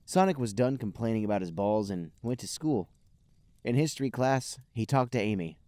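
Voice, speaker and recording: A man's voice: lowish voice, lively intonation, normal speed, darkish tone, dry recording. A man hosting a comedy podcast, reading fan fiction aloud with mock-dramatic storytelling and casual chat.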